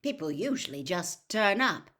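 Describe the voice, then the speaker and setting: A blunt country campsite manager from a storybook, played by a woman reader. He talks in clipped, gruff phrases and sounds suspicious of every stranger who turns up. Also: fairly low pitch, very animated, normal speed, a bit bright.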